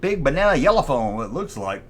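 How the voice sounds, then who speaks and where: A man's voice: medium pitch, very animated, brisk, fairly bright tone. A man hosting a vintage toy show, chatting informally and nostalgically and often repeating himself. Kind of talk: rambling nostalgic commentary on collectible toys.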